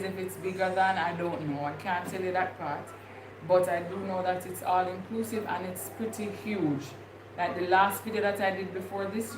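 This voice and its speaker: A young woman with a Jamaican accent talks casually to her viewers, wandering from thought to thought with plenty of ums. Her voice sits at an ordinary middle pitch. The room is echoey, with a steady noise under her. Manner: rambling, thinking aloud with many fillers. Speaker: vlogger. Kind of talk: casual livestream chat.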